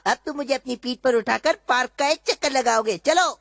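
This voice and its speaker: A woman's voice: high-pitched, brisk, gravelly, a bit bright, dry recording, studio quality. A woman voicing a sly, bossy trickster ninja in a Hindi cartoon dub, cocky, wheedling and mocking.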